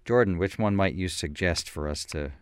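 A man hosting a radio interview in a studio, talking in a relaxed way with frequent fillers. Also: low-pitched, normal speed. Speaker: radio host. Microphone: studio microphone.

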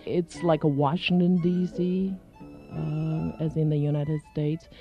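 Low-voiced woman from China. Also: steady tone, slow pace. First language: Chinese. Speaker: interviewee. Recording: dull lo-fi recording with music underneath.

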